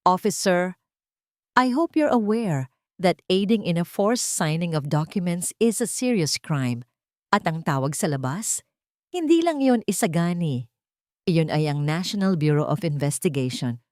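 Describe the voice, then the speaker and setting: Attorney role, voiced by a female narrator: icy, composed, formal threats, lowish register, dry recording. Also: expressive, a little slow, darkish tone, no echo. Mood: quietly threatening. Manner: formal, cold.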